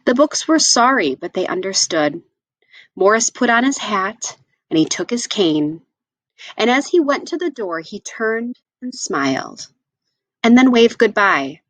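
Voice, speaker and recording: Animated woman reading a storybook aloud. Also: medium pitch, dry recording, low-quality recording. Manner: expressive, with deliberate phrasing and asides to listeners. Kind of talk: read-aloud storytelling for children.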